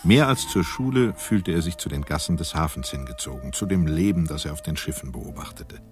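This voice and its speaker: A man narrating a radio play as a scripted storyteller, measured and wry. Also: very deep voice, expressive. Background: music.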